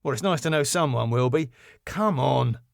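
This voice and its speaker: A man's voice: medium pitch, animated, normal speed, creaky, no room sound, clean studio sound. A man voicing a harried, grumbling man in a sitcom, urgent, blunt and exasperated. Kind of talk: sitcom script read aloud.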